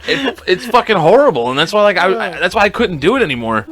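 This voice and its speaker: A man's voice: sits high, fast. A young man co-hosting a casual podcast, joking and swearing as he tells stories. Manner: profane, joking, animated storytelling. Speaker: podcast co-host.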